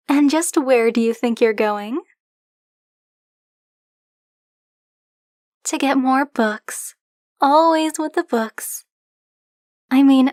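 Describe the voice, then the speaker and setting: A woman's voice: sits high, dry recording, clean studio sound. A woman playing a flirty, possessive dragon-blooded librarian in an ASMR roleplay, speaking to the listener in a teasing, coy, insistent manner. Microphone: close, dry microphone.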